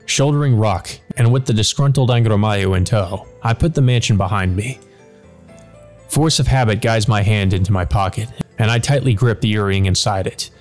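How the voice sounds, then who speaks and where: Male story narrator, lower register, reading visual novel prose over music. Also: moderate pace. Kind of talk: dramatic scripted narration.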